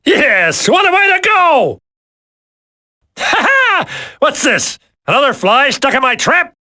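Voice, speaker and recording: High-pitched cackling maniac villain. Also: male voice, wildly swooping pitch, drawn-out words, pauses often, bright, forceful tone, no echo, clean studio sound, exaggerated voice. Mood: gloating. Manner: cackling, singsong taunting. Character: gleeful sadistic male villain, childishly taunting maniac. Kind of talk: video game voice acting.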